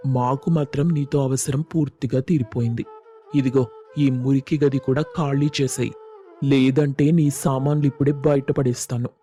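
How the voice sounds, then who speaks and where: A man's voice: medium pitch, steady tone, moderate pace, mellow, soft background music, natural voice. A man playing a callous, greedy young city fellow, cold and dismissive, in a dramatised moral story. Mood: cold contempt.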